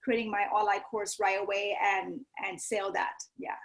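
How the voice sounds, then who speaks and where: A woman's voice: mid-range voice, little pitch movement, moderate pace. A woman from Thailand with a Thai accent, a native Thai speaker, talking personally and motivationally as an interview guest, often checking in with "right?". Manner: often adds "right?" and "you know". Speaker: guest, yoga teacher and business coach.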